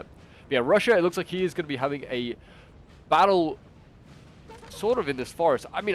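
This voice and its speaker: A man's voice: medium pitch, slight background noise. Congested man commentating a game battle. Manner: chatty, thinking aloud with frequent fillers. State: congested with a cold. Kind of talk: casual gameplay commentary. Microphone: new desktop microphone. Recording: game battle sounds behind. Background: gunfire and artillery from the game.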